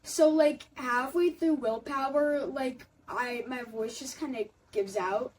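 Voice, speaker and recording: A teenage girl theater student with a raspy voice, chatting haltingly with many fillers. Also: high voice. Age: teens. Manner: halting, heavy use of "like". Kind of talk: casual chat. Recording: distant mic, faint room noise.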